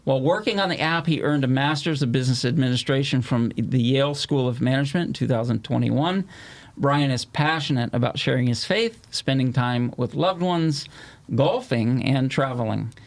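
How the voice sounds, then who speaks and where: A man's voice: medium pitch, normal speed. A man hosting a podcast interview, reading a guest's bio and asking questions with friendly, joking asides. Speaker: podcast host.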